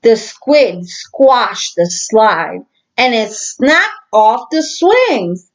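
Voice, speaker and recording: A woman teacher reading a children's storybook aloud, slow and expressive. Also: fairly high pitch, muffled recording.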